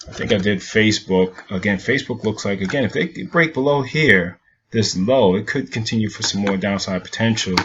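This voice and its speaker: A man's voice: fairly low pitch, brisk, muffled recording, a little hiss or hum. A man from Jamaica giving a casual stock market recap over charts, rambling and repeating himself.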